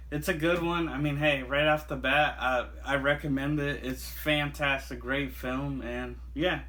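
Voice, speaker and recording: A man's voice: medium pitch, pushed and bright. A man reviewing a monster movie in a casual, rambling way, full of fillers and restarts. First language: not Japanese. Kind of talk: casual chat.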